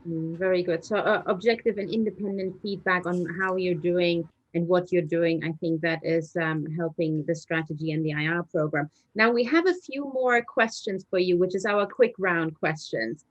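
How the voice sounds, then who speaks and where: Interviewer: woman with a fairly low voice, asking questions, slightly muffled lo-fi recording. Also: moderate pace, hardly any pauses.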